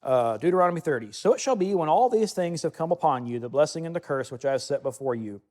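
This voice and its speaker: A man's voice: a little high, expressive, fast, a little mellow. A man giving a teaching sermon to listeners in a room, reading scripture aloud and adding conversational asides. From United States. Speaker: Bible teacher.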